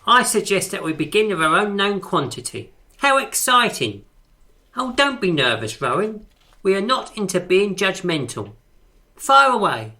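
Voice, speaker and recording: An assured, courteous man in a radio drama, leading a poetry circle. His voice is bright and forceful with lively swings in pitch, formal and authoritative. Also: normal speed, exaggerated voice. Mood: welcoming.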